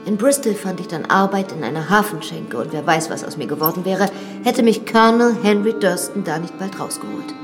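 Young widowed lady of a manor, frank and self-assured, lowish voice, reverberant radio drama with music female actor. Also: fairly quick, few pauses, natural voice. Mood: wistful, confiding. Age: young adult.

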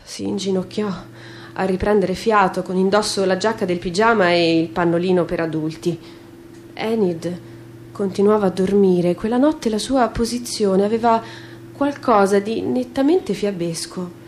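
A woman's voice: mid-range voice, moderate pace, darkish tone, a little hiss or hum. A woman reading literary fiction aloud as a narrator in a radio theatre performance. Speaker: voice actor, narrator.

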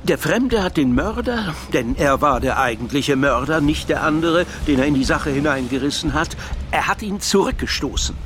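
An actor playing a reflective, philosophical man in a radio crime drama, arguing in a pensive, articulate and measured way. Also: fairly high pitch, animated, background noise, natural voice. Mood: explaining. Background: faint background music.

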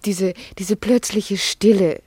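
Thoughtful doctor's wife, dry sound. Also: female voice, mid-range voice, steady tone, slow, dark tone, breathy edge, dry recording. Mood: reflective. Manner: earnest, thoughtful, searching for words. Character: reasonable, reflective doctor's wife, gently ironic, probing. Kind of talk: 1950s German radio play acting.

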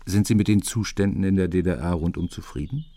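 An actor playing an imposing secret-police boss in a radio drama, speaking with measured, persuasive authority. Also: male voice, sits low, mellow, no room sound. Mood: calm, persuasive. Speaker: senior secret police officer.

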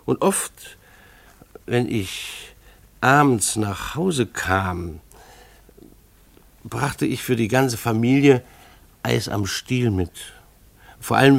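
A harried father telling of his household in a radio play. His voice is mid-pitched with a gravelly creak, recorded close and dry. He speaks with ironic formality and deliberate pauses. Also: no room sound. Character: harried, ironic husband and father narrating family chaos. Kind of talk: literary radio drama narration.

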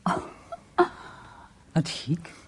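An actress playing a soft, admiring female companion in a radio drama, tender and slightly unsure, with a breathy, husky voice. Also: drawn-out words. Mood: tender, curious. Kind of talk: intimate radio drama acting.

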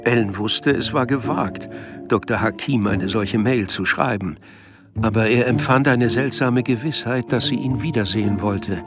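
A man's voice: mid-range voice, moderate pace. A man reading literary scripted narration for a radio drama. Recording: music behind the voice.

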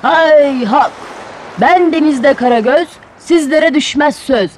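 Woman voicing an excitable, high-pitched little storybook fish. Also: slow pace, a little hiss or hum, poor audio. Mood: showy. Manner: exclamatory, wistful, affectionate. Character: cute eager little fish, tender and excitable. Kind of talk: children's storybook character voice.

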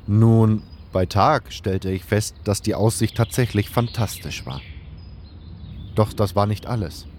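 A man acts out a thoughtful adventurer hero in a fantasy audio drama. His voice sits fairly low and he tells his story in a measured, earnest way, moving between narration and dialogue. Faint noise and birdsong lie behind him. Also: darkish tone, natural voice. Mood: reflective, contented. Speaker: narrator and lead character of a fan audio drama.

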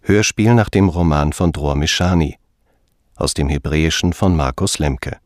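A man's voice: extremely low pitch, moderate pace. A man reading radio drama credits as an announcer in a formal scripted style.

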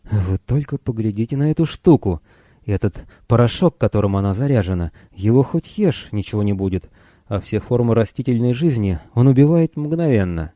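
A man's voice: on the low side, lively intonation, normal speed, mellow, dry recording, studio quality, close to an everyday voice. A man voicing a frank, curious starship pilot, a woman of almost twenty, earnest and matter-of-fact, in a science fiction audiobook. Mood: excited.

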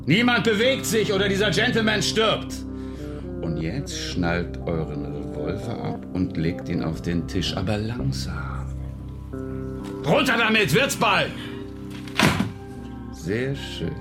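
An actor playing a cocky, menacing outlaw swindler in a German radio western, mockingly polite and given to barked threats. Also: male voice, medium pitch, sing-song, takes it slowly, pauses often, over loud music. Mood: menacing, commanding.

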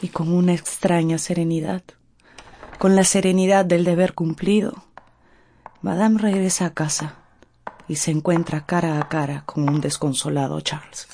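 A woman's voice: on the low side, fairly even intonation, moderate pace, mellow, slight background noise. A woman narrator reading a scripted radio serial in a solemn, suspenseful, dramatic way.

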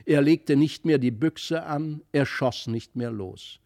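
A man narrating a literary fairy tale in an animated, carefully phrased way. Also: a little high, takes it slowly, dry recording, studio quality. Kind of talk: expressive literary storybook narration. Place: studio.

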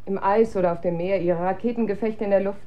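An actress playing an observant, opinionated wife in naturalistic radio drama, conversational and pointed. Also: female voice, medium pitch, steady tone, fast talker, highs cut off, lightly acted. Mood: sceptical, dismissive.

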